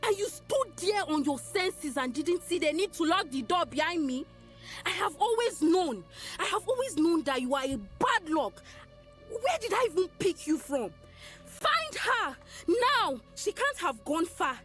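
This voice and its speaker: A woman's voice: very high voice, sing-song, measured pace, fairly bright tone, faint music behind, full character voice. A woman playing a cruel, domineering, short-tempered Nigerian madam in a radio drama, scolding with contempt. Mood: furious, accusing.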